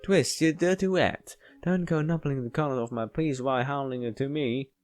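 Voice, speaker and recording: A man voicing excitable, bossy characters from a visual novel in an exclaiming, scolding way. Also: medium pitch, moderate pace, faint music behind, natural voice.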